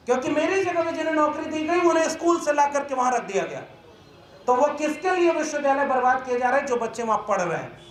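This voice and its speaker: A man giving an emphatic, indignant, oratorical speech as a professor at a press conference. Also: very high voice. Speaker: university professor and activist speaker. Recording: echoey room with faint background noise.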